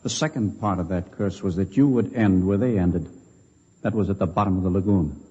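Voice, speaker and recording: A gloomy uneasy scientist, deep n mellow, muffled old 50s radio, musing. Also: male voice, a little fast, breathy edge. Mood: brooding. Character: brooding, uneasy male scientist dwelling on a curse. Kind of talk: 1950s American radio drama acting. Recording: muffled old radio broadcast, long reverb.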